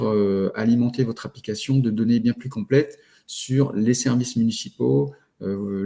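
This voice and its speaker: A man presenting a webinar in a didactic, halting manner, laying out points one by one. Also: lowish voice, gravelly, dark tone. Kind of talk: explanatory webinar talk.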